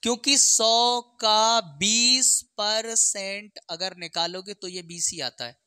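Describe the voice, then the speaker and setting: Maths teacher, bright forceful voice, dry close sound, step-by-step explaining, short check-in questions. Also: mid-range voice, steady tone, takes it slowly, clean tone, dry recording. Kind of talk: step-by-step exam maths lesson.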